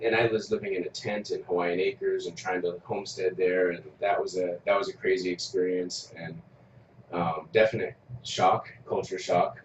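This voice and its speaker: A man interviewing in a relaxed, casual way, telling his own story and humming along in agreement. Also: deep voice. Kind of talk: casual conversation. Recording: distant, echoey, muffled, noisy.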